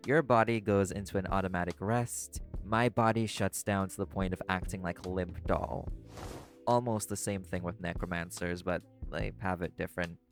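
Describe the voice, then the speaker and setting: A man playing a laid-back, caring vampire in an audio roleplay, talking the listener toward sleep in a casual, gently instructive way. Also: deep voice, steady tone, normal speed, soft background music. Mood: casual, reassuring. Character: laid-back male vampire companion, teasing yet caring.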